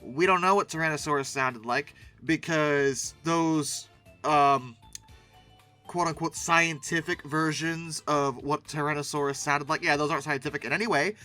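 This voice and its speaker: Super bright punchy guy doing funny casual commentary, really theatrical and bouncy, repeats himself, bit of music behind. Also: a little high, fairly even intonation, moderate pace. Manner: theatrical, repeats words, mock-exasperated asides. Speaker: host reviewing old paleoart.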